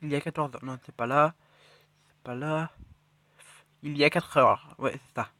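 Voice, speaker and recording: Man with a cold, slightly high voice, dry close sound, rambling excited game commentary. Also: normal speed, no room sound. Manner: rambling, excitable, self-correcting, drops to mutters. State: has a cold. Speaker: mobile game channel host. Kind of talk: casual gameplay commentary.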